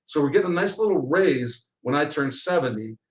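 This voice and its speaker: A man in his sixties with a slightly higher-than-usual voice walks through slides in a webinar. He talks like a chatty financial advisor, explaining step by step and often restarting his phrases. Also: expressive, fairly quick, poor audio.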